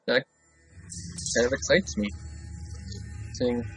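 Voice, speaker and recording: A man's voice: medium pitch, lively intonation, slow, creaky, a bit bright, a little hiss or hum. A young man hosting a casual reaction video, chatty and rambling with fillers. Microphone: desktop mic, no headphones. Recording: dull lo-fi home recording. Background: audiobook music playing.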